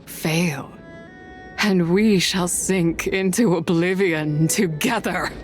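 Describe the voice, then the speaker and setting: Low, slow, imperious immortal. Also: female voice, faint music behind. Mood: ominous threat. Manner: solemn, imperious, archaic formal phrasing. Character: cursed, wrathful ancient immortal woman challenging mortals. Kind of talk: dramatic game voice acting.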